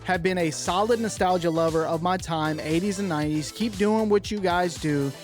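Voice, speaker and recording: A man co-hosting a retro podcast, reading fan mail in a relaxed, slangy, joking way. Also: sits high, normal speed, soft background music. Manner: laid-back, slangy, laughs easily. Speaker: podcast co-host.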